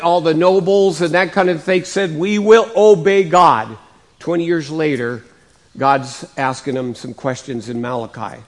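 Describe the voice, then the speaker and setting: A male pastor preaching a sermon in a conversational way, his voice slightly raspy from coughing, rising and getting louder to stress points. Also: fairly high pitch. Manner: conversational, with many fillers and restarts; rises and gets louder for emphasis.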